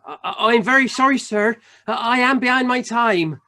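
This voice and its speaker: A man's voice: very high voice, moderate pace, pushed and bright. A man playing a meek, apologetic clerk in an amateur radio play, hesitant and stammering. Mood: sheepish, anxious.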